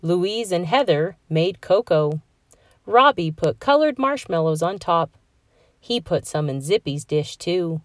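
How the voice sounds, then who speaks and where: A woman reading a children's story aloud in a measured, expressive way. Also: lowish voice, no echo, clean studio sound. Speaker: storybook reader. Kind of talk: children's read-aloud narration.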